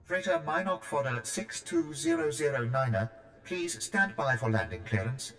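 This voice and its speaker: An actor playing a polite spaceport traffic droid in a video game, speaking in formal, procedural phrases. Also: male voice, medium pitch, sing-song, normal speed, a bit bright, roomy sound. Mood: formal, helpful.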